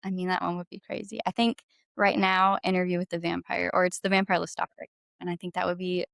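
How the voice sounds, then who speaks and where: Low, creaky-voiced woman chatting casually. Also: flat delivery, quick pace, a bit bright, dry recording, clean studio sound. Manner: informal, playful, frequent "like". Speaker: podcast guest, musician. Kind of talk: casual chat.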